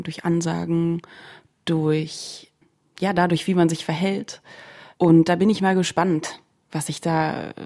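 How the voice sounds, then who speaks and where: Creaky, lowish-voiced woman from Germany. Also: a little mellow. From Berlin, Germany. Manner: thoughtful, searching for words. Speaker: musician being interviewed. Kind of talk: reflective conversational interview.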